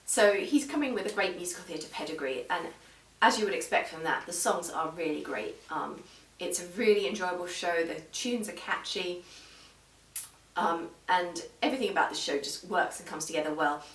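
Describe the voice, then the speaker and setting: A woman with a slightly low voice talks viewers through a review in an informal, explanatory way. She speaks in a measured fashion. The room adds a clear echo, and she sounds set back from the microphone. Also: a bit bright. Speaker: video reviewer. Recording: roomy echo, distant microphone.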